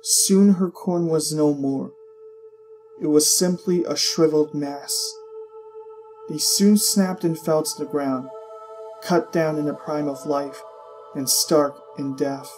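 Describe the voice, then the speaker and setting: A man reading fiction aloud as a narrator, in an expressive, dramatic storytelling style. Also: a little high, takes it slowly, soft background music. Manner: expressive, literary storytelling delivery.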